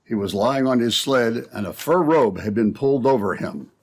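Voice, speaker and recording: A man's voice: mid-range voice, expressive, normal speed, husky. A man announcing and narrating in a dramatic, theatrical old-time radio style.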